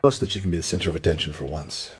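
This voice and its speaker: An actor playing a grieving, well-off father in a crime radio play, husky, subdued and polite. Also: rumbling low, very fast, heavily acted. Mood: guarded, subdued.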